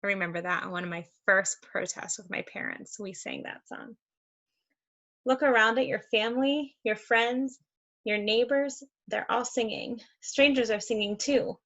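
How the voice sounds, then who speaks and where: Higher-voiced woman reading to children, creaky edges. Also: moderate pace. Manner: expressive, encouraging, addressing young children. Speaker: read-aloud host for children. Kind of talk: children's picture book read-aloud with asides.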